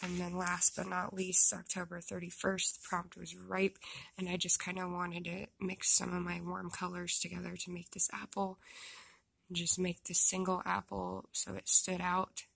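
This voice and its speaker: A young woman with a stuffed-up nose, casually talking through her drawings into a phone and poking gentle fun at her own work. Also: fairly low pitch, monotone, normal speed, gravelly, slightly rough, no room sound. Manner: self-deprecating, rambling with restarts. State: stuffed up, congested. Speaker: artist showing her drawings. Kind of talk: casual narration of a drawing time-lapse. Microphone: phone camera.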